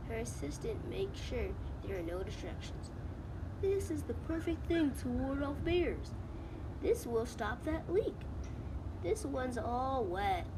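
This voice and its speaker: A female student reading a children's story aloud with deliberate, expressive phrasing. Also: fairly high pitch, very noisy. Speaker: student reader.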